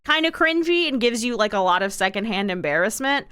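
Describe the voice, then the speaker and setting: A woman's voice: a little high, animated, brisk, pushed and bright, smooth, dry recording, clean studio sound. A woman livestreamer rambling casually to her viewers in a wry way, leaning on and repeating words with exaggerated emphasis.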